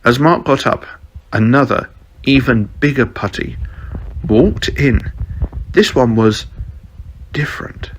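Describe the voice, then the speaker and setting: A man narrates a scripted fan fiction tale at an unhurried, slow pace. His voice sits at an average pitch, and he moves between narration and character dialogue as a podcast storyteller. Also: slight background noise, rough recording.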